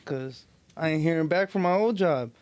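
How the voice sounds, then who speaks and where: Higher-voiced American guy, slangy and profane. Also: moderate pace, darkish tone. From California Central Coast, United States. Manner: slangy, profane, laid-back. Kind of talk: casual chat.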